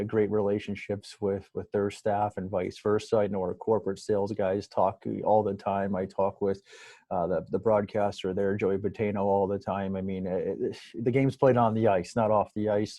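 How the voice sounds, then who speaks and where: A man's voice: fairly low pitch, dark tone, no echo. An American man from Metro Detroit talking casually as a guest in a podcast interview. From Metro Detroit, USA. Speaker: podcast guest, sports broadcaster.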